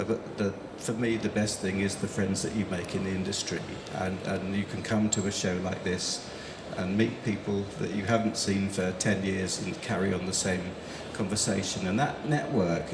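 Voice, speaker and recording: A man's voice: sits low, fairly even intonation, normal speed, few pauses. A middle-aged man moderating a conference panel, talking in a rambling way with lots of fillers. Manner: rambling, hesitant, many fillers. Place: large conference hall. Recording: echoey hall with background noise.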